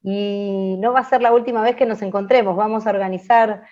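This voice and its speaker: A woman's voice: medium pitch, fairly even intonation, fairly quick, a little mellow. A woman with an Argentine accent leading a conversational class, reflective and adding little tag questions, in a dull recording. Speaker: radio and podcast workshop instructor. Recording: dull lo-fi sound.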